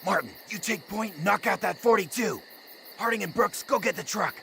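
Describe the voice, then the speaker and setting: Higher-voiced American army captain amid loud noise. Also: male voice, moderate pace, creaky, full character voice. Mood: urgent, commanding. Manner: authoritative, clipped commands. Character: commanding American World War II army captain, brisk and proud. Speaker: army captain giving orders. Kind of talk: video game military drama dialogue. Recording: noisy battlefield game audio.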